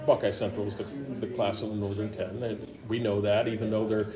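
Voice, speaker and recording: A man's voice: on the low side, flat delivery, brisk. A middle-aged man hosting a local sports interview show, asking long, rambling questions with lots of fillers. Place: high school gym. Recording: echoey gym with crowd noise.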